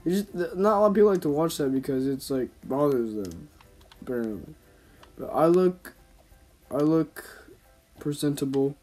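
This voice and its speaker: A man's voice: fairly high pitch, expressive, normal speed, pauses often, a little mellow, soft background music. A man giving casual, rambling gameplay commentary in slangy, informal speech, as a gaming YouTuber. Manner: slangy, informal, talking to himself and viewers.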